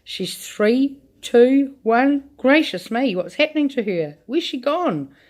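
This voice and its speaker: A woman voicing a frantic middle-aged mother, crying out in shrill alarm. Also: animated, unhurried, darkish tone.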